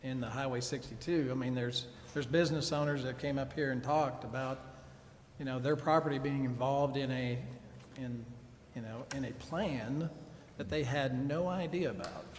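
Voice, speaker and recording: An American man debating at a public meeting, insistent and somewhat halting. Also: medium pitch. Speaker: council meeting participant raising concerns. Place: council chambers. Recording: reverberant council chamber, faint noise.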